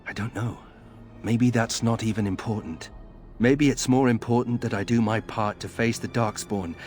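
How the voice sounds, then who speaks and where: A man acts out an earnest disgraced nobleman from a fantasy game. His voice is on the low side. He is serious and guarded, with soft music underneath. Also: steady tone, normal speed, lightly acted. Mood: earnest pleading. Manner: serious, terse, dry. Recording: faint music behind the voice.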